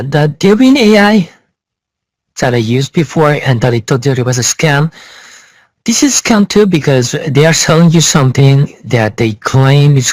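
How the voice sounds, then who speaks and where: Slow-talking, insistent man with swooping pitch. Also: no echo, low-quality recording. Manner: blunt, insistent, repeating himself. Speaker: software reviewer. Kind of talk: casual spoken review.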